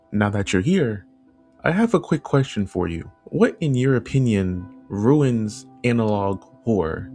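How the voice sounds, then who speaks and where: A man's voice: on the low side, darkish tone. A man giving informal, opinionated video commentary in a conversational way, repeating phrases for emphasis. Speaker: video essay host and analog horror creator. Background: background music.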